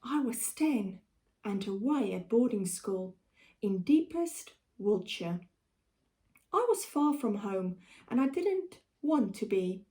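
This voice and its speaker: A South African woman reading a storybook aloud for children like a schoolteacher, with deliberate, expressive delivery. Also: medium pitch. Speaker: primary school teacher.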